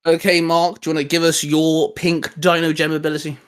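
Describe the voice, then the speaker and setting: A man's voice: on the high side, flat delivery, a little fast, clean tone. Man hosting a casual geek chat. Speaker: channel host.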